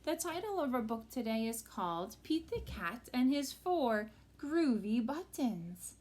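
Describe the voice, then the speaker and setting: A woman's voice: on the high side, sing-song, measured pace, darkish tone. A woman reading a picture book aloud to young children as a teacher, with sing-song, exaggerated expression.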